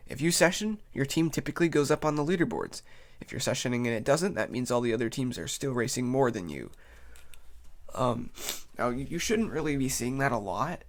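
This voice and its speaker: A man with a cold, sounding tired, gives a casual unscripted explainer as a video host, rambling loosely as he goes. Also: mid-range voice. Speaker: YouTube host.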